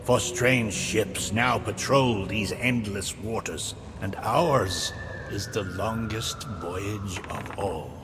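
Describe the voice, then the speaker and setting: A solemn ghost captain ferrying lost souls in a video game. He speaks very slowly and portentously, with archaic formality. His voice rings in long reverb over prominent music. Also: lowish voice. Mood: solemn, foreboding. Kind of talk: theatrical video game character acting.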